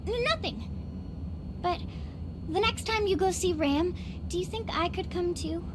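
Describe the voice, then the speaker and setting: A woman playing a sweet, excitable, romantic young girl in an English anime game dub, earnest and prone to gushing. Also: very high-pitched, unhurried, noisy background. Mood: wistful. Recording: loud music behind the voice.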